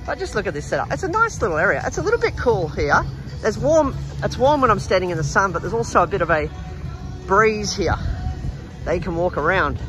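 Australian male travel vlogger, high-ish voice, chatty and informal, outdoors with clear background music. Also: big pitch swings, a little fast, rough recording. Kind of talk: casual walking vlog narration. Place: outdoors in an urban riverside park. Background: background music, outdoor city sounds.

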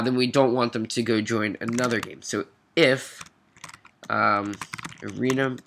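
Congested man with a bright voice. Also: mid-range voice. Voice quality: nasal, congested. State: stuffy nose from a cold. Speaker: programming tutorial host. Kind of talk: informal coding walkthrough, thinking aloud. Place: at a computer, screen recording voice-over. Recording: noisy mic with keyboard clicks. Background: faint noise, typing and clicks.

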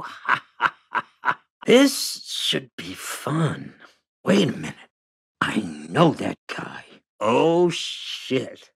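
Sadistic raspy killer doll. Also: male voice, very high-pitched, pushed and bright, exaggerated voice. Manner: menacing mock-playful taunting, heavy swearing. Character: foul-mouthed killer doll, sadistic, taunting, short-tempered man. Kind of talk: video game character voice lines.

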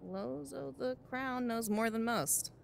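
High-voiced, blunt woman strategist. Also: takes it slowly, gravelly, a little hiss or hum. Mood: wry, blunt. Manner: emphatic, argumentative, condescending. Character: blunt, calculating female adviser, combative and exasperated. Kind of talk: naturalistic video game cutscene acting.

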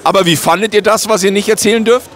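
A man's voice: high-pitched, fairly even intonation, fast, a bit bright. A man vlogging at a trade fair stand, interviewing guests in a joking, self-mocking, rambling way.

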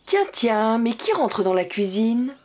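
A woman voicing a kindly, playful mother donkey in a preschool cartoon, gently playing along. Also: a little high, sing-song, takes it slowly, heavily acted. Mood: playfully surprised. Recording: music bed behind the voice.

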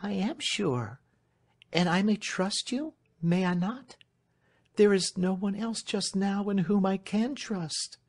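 A man voicing an earnest, agitated young lady in an audiobook, pleading with urgent, hushed entreaty. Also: high voice, animated, slow, voice pushed up, full character voice.

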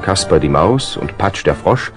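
Very deep-voiced male storyteller. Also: lively intonation, unhurried, over music. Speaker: narrator. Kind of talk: scripted children's story narration.

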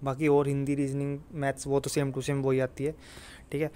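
A man's voice: mid-range voice, monotone, normal speed, mellow, clear, resonant tone. A young man casually explaining exam and job details to students in a chatty way, often checking in with them. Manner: chatty, keeps asking "theek hai na bhai". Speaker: exam coaching YouTuber.

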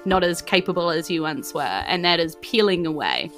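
A woman game master narrates in a casual, informal way. Her voice is on the lower side, with soft music underneath. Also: very animated, normal speed. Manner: informal, full of "like" and "sort of".

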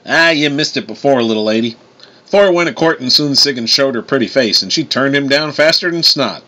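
A man's voice: medium pitch, animated, fairly quick, sharp tone, pitched above the performer's own voice. A man voicing a folksy, protective older-brother god who races stock cars, with a drawling Southern US accent, in an audiobook story. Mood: easygoing.

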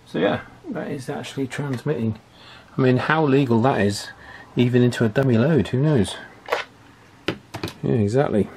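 A middle-aged man with a mid-pitched voice talks through hands-on technical work in an informal way. He speaks hesitantly, thinking aloud, in the manner of a repair technician presenting from the bench. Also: normal speed, pauses often, noisy background. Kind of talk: informal hands-on workbench commentary. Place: small indoor workshop, at the bench.

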